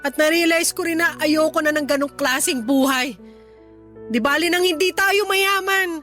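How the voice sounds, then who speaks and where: A middle-aged Filipino mother in an emotional radio drama. Her voice is high and expressive, full of affection and regret as she pleads tenderly. Music plays softly behind her. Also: moderate pace. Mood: earnest, light. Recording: music bed under the dialogue.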